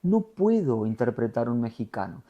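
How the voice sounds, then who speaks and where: A middle-aged man from Argentina with an Argentine Spanish accent, a TV news anchor being interviewed, talking in a lively storytelling way. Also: mid-range voice, normal speed, dull audio. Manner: animated storyteller, voseo, acts out quotes. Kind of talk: conversational interview.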